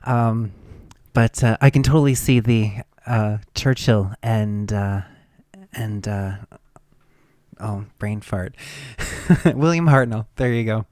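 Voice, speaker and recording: A man's voice: fairly low pitch, slow pace, long pauses, a little mellow, dry recording, studio quality. A man hosting a radio show in a casual, chatty style.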